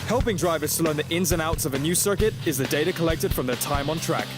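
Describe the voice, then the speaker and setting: Higher-pitched male motorsport narrator over loud music. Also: fast talker. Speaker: narrator of a motor racing highlights show. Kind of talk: scripted narration.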